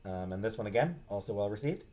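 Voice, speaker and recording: A man giving a casual, unscripted book talk in a halting way, searching for his words. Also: fairly low pitch, lively intonation, dark tone. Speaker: book reviewer, host.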